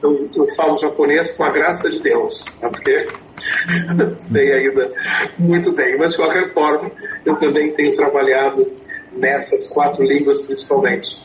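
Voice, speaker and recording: A man's voice: a little high, steady tone, unhurried. A man in his sixties, a native English speaker, answering interview questions about his storytelling consulting with many fillers and restarts. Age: 60s. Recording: echoey, dull, slightly noisy.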